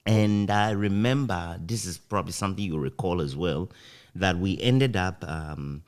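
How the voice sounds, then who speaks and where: A man with a Ghanaian accent, reminiscing casually as an interview guest in an animated, anecdotal way. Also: sits low, normal speed. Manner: animated, chuckles often while recounting anecdotes. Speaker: interview guest, former radio broadcaster.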